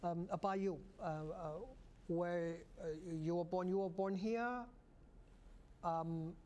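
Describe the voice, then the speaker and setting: A man hosting a local cable talk show, speaking haltingly with many fillers and restarts. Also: high voice, fairly even intonation, a little mellow. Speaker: host.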